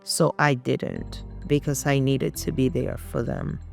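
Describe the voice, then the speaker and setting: A middle-aged woman from Puerto Rico with a Spanish accent and Spanish as her first language, telling her life story as an interview guest in a halting, determined way. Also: very deep voice, little pitch movement, gravelly, a little mellow, faint music behind. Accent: Puerto Rican Spanish.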